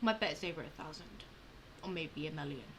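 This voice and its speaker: A woman hosting a game playthrough, commenting casually and reading text aloud in an informal, halting way. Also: deep voice, expressive. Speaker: gamer, channel host. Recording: clear background noise with game audio.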